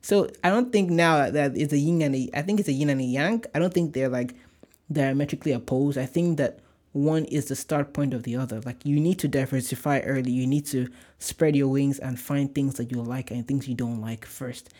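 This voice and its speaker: A man's voice: medium pitch, fairly even intonation, fairly quick, a little mellow. A young man from Nigeria with a light Nigerian accent, hosting a casual solo podcast in an upbeat, chatty, rambling way. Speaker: podcast host.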